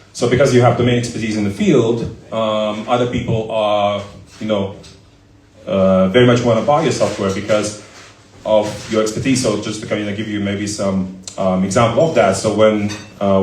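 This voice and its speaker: A man with a fairly low voice tells founder stories at a live event, rambling and full of fillers. The sound is roomy and echoey, his voice picked up from a distance. He talks in a loose, anecdotal way. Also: a little mellow, slight background noise. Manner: talkative, many fillers, anecdotal. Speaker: startup founder and CEO as guest. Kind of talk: casual Q&A answers at a live event. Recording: echoey room, voice from far off.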